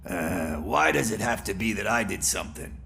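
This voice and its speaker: Creaky, low-voiced evasive schemer. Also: male voice, expressive, moderate pace, sharp tone, a little hiss or hum, exaggerated voice. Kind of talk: video game voice acting.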